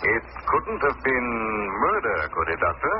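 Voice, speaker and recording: An actor playing a mysterious invisible avenger in an old radio thriller, taunting his listeners with slow, formal politeness. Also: mid-range voice, wildly swooping pitch. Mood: sly menace. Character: mysterious invisible male avenger, menacing and commanding. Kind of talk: 1940s radio thriller acting. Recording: dull, noisy vintage radio recording.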